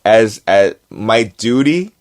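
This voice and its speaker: A man with a lowish voice talks fast and animated, speeding up in eager bursts. He tells a casual story full of fillers. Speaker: podcast co-host. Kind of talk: casual chat.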